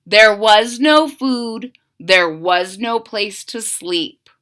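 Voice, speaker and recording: A woman reading a picture book aloud to children, with an expressive voice and questions asked straight to her young listeners. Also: mid-range voice, extremely slow.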